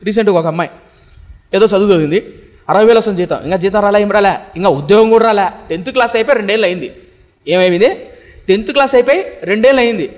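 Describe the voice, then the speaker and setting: Fiery preacher man in a reverberant hall. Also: quick pace. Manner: impassioned, rhetorical questions, swings between shouting and low tones. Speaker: pastor. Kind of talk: fiery sermon.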